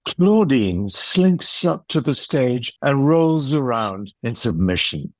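Slow, expressive male storyteller. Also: mid-range voice, no echo. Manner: measured, storytelling. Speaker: narrator. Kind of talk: scripted narration of an audio play.

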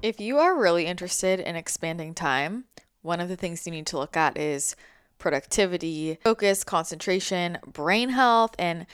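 A woman in her thirties talking alone on her podcast. Her voice sits low and comes out smooth, with a slight scratchiness today. She rambles casually and corrects herself as she goes. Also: lively intonation, moderate pace, soft background music. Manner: chatty, rambling, with self-corrections and fillers. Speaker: podcast host, channel and energy healer. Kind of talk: casual solo podcast talk.

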